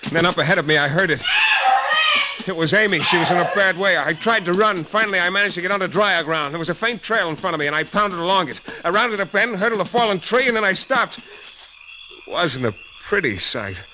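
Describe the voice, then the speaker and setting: A man's voice: sits high, expressive, a little fast. An actor playing a tough, wry private detective who narrates his own case in a clipped 1940s radio style. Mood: urgent, grim. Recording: noisy vintage radio recording.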